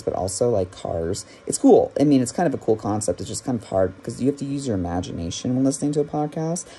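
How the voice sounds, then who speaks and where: A man's voice: on the low side, mellow. A young man giving a casual, rambling review to camera with wry, self-deprecating asides, recorded on a phone at arm's length in a small room. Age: young adult. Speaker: podcast reviewer. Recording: clear background room noise.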